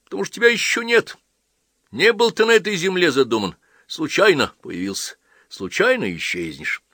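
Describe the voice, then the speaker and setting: A man voicing an old village priest and holy fool, folksy, gruff and affectionate, in an audiobook story. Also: sits high, big pitch swings, normal speed, bright, forceful tone, no echo, clean studio sound, pitched above the performer's own voice, full character voice. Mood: stern.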